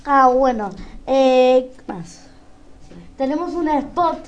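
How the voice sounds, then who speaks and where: A woman's voice: sits high, slow, dull audio. A woman with a Rioplatense Argentine accent, casually asking questions as a radio host.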